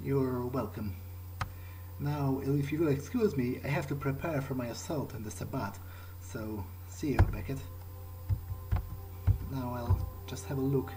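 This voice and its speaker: A man's voice: mid-range voice, measured pace, background noise. A man hosting a gaming video, improvising in character and thinking aloud in hesitant, musing fragments. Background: game music.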